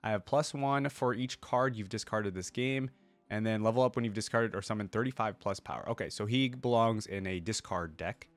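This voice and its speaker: A man with a fairly low voice gives casual reaction commentary. He is laid-back and slangy, thinking aloud as he goes, with music clearly playing behind him. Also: a little fast, no room sound. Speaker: reaction video host.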